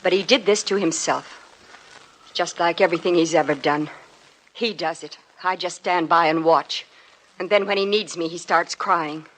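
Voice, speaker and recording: An actress playing a cold, bitter, unforgiving wealthy wife in a 1950s radio drama. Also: female voice, on the low side, normal speed, a bit bright. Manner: cold, clipped.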